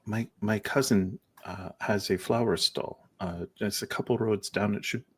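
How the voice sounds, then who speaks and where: A man's voice: fairly low pitch, fairly even intonation, measured pace, husky. A man voicing a helpful, understated barmaid in a tabletop game, speaking a little hesitantly. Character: helpful barmaid offering discreet advice.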